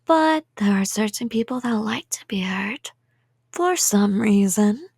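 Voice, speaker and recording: A woman's voice: medium pitch, lively intonation, unhurried, a little mellow, breathy edge, no room sound, clean studio sound. A woman playing an obsessed young yandere kidnapper in an intimate ASMR roleplay, rambling coyly and sweetly with menace. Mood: softly threatening. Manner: rambling, giggly, coy, threatening in a sweet tone.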